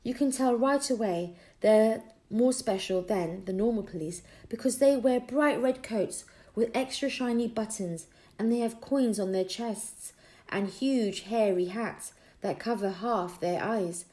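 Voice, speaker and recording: A woman narrating a children's audiobook expressively, with deliberate storytelling phrasing. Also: medium pitch, mellow. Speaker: audiobook reader.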